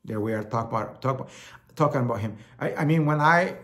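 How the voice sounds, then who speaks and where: A man's voice: medium pitch, quick pace, fairly bright tone. Salvadoran-accented man, rambling host monologue. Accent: Salvadoran Spanish. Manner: rambling, repeats words, acts out quoted voices. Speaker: talk show host. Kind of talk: casual monologue.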